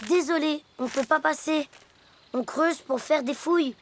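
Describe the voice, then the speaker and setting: A woman's voice: sits high, a little slow, background noise. A woman voicing a cautious, self-important young male hippo who lectures earnestly in a children's cartoon dub. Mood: officious. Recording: music playing behind the voice.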